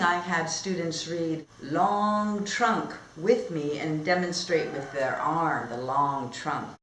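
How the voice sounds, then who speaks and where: A woman teacher demonstrating read-aloud storytelling and instruction in simple, expressive phrasing. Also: on the low side, slight background noise. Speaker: teacher trainer. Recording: echoey room.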